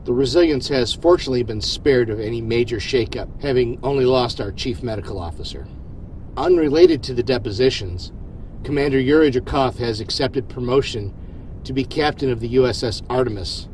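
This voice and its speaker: Starship captain, male actor: steady, modest, reflective; medium-pitched voice over a rumbling ship background. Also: fairly quick, slight background noise, lightly acted, poor audio. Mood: formal, composed. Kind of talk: science fiction audio drama acting.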